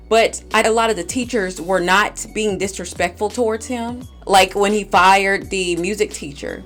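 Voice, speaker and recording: A woman hosting a movie recap, giving scripted narration and commentary. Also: mid-range voice. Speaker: movie recap host and reviewer.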